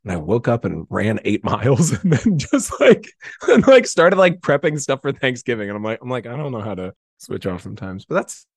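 Guy rambling on a podcast, super animated, kinda self-deprecating, says like a lot, dry sound. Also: medium pitch, normal speed, gravelly, husky, dry recording. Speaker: podcast guest. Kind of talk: casual chat, anecdotes.

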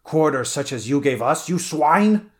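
A man's voice: lowish voice, normal speed, natural voice. Man voicing a savage, vengeful barbarian swordsman. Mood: furious, gloating. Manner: ranting, cursing, exclamatory. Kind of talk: dramatic audiobook character reading.

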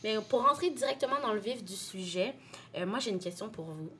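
A woman's voice: medium pitch, lively intonation, fairly quick, sharp tone, slight background noise. A young woman hosting a casual podcast, playful and laughing, with English words mixed in. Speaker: podcast host.

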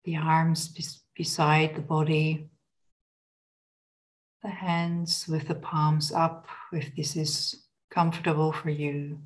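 A woman leading a guided body scan meditation in a gentle, unhurried, instructional manner. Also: sits low, little pitch movement, rough recording.

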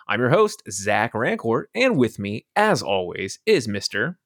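Man, podcast host, jokey, very lively pitch, dry close sound. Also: a little slow, dry recording. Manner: animated, jokey, laughs often. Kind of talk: casual podcast chat.

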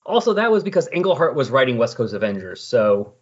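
A man with a husky voice chatting casually on a podcast, explaining and recapping in a rambling way. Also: medium pitch, sing-song, quick pace. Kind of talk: casual comic book discussion. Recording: dull lo-fi voice recording.

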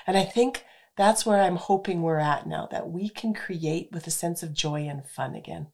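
A woman's voice: lowish voice, normal speed, darkish tone. A woman hosting a radio show, chatting enthusiastically with her guest and laughing easily. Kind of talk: casual interview chat.

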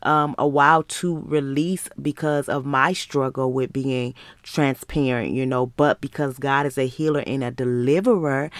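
A woman's voice: extremely low pitch, dry recording. An American woman in her thirties talking as a faith-based podcast host, in an earnest, encouraging, conversational way. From Michigan, United States. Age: 30s. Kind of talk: personal podcast monologue, faith-based motivational talk.

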